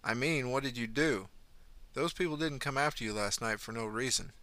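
A man's voice: mid-range voice, moderate pace, sharp tone, no echo, lightly acted. A man playing a wry, curious patient. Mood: probing. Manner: dry, probing questions. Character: young man in neck brace, curious, wry.

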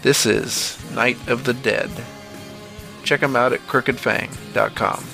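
Guy with a gravelly, creaky voice doing scripted horror podcast narration, music playing under him. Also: mid-range voice, fairly even intonation, takes it slowly, bright, forceful tone.

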